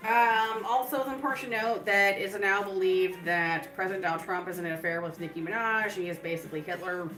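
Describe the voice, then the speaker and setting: A woman's voice: medium pitch, fairly even intonation, brisk, few pauses, roomy sound, music in the background, rough recording. A woman hosting a live stream, talking in a loose, rambling way full of fillers. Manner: breaks into laughter. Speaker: livestream host.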